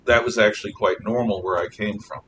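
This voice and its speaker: A man lecturing a class as a history teacher, conversational, animated and emphatic in his explanations. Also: fairly quick, lo-fi sound. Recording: noisy rumbling room, distant mic.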